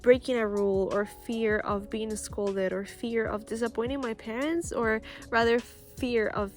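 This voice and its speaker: A woman's voice: a little high, fairly even intonation, unhurried. A woman in her early twenties from Costa Rica, a native Spanish speaker, talks casually and earnestly to camera as she shares a personal story outdoors. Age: early 20s. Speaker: vlogger. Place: outdoors, school playground near a road. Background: music, possible passing cars and birds.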